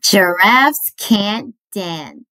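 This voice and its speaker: A woman's voice: medium pitch, animated, drawn-out words, bright, forceful tone, poor audio. A woman in her thirties who speaks expressively to young listeners as friends, as a children's storybook host. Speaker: school counselor and read-aloud host. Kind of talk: animated children's storybook read-aloud and introduction. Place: furnished room at home, indoors.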